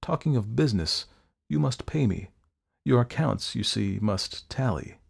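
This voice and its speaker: A man's voice: medium pitch, expressive, measured pace, vocal fry, breathy edge, exaggerated voice. A man voicing a cynical young doctor and murderer in a storybook reading, controlled, worldly and coaxing. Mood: cool, constrained.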